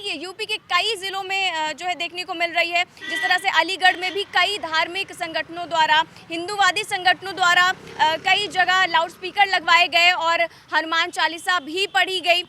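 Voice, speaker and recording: Very high-pitched woman reporting news. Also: fairly even intonation, normal speed, few pauses, sharp tone, slight background noise. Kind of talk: news report, semi-scripted explaining.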